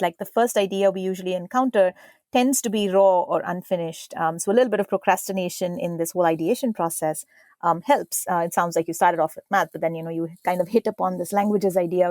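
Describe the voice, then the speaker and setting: Host: woman, mid-pitched, enthusiastic, dry close recording. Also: a little mellow, no room sound. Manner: enthusiastic, uses fillers. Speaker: podcast host. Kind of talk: interview host questions.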